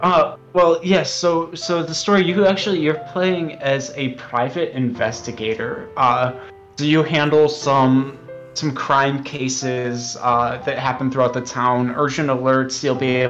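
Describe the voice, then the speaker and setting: A man's voice: medium pitch, steady tone, a little slow, fairly bright tone, lo-fi sound. A man explaining his game as its developer, earnest and hesitant, often stumbling and repeating words. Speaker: game developer being interviewed. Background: music.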